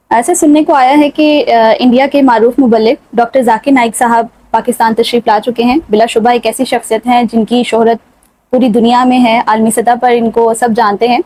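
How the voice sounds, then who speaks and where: A woman's voice: on the high side, fairly even intonation, darkish tone, dry recording, low-quality recording. A woman with a Pakistani accent hosting a talk show and putting formal questions to her guest.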